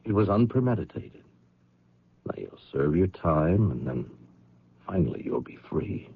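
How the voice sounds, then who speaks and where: Very deep, gravelly, persuasive confessor. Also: male voice, big pitch swings, measured pace, heavily acted. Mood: gently persuasive. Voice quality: gravelly, husky. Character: grave, persuasive man coaxing a guilty woman to confess. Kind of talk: radio drama acting. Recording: muffled, narrow-band old radio broadcast.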